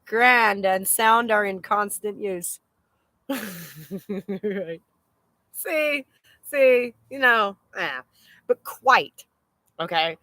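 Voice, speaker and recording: A woman chatting casually as host of a live stream, rambling in an animated, exclaiming way with casual swearing. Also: medium pitch, very slow, pauses often, no room sound.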